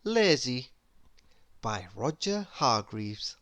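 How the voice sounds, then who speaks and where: Slow, lilting woman reading a children's story. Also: rumbling low. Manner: expressive, unhurried storytelling. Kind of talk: children's read-aloud narration.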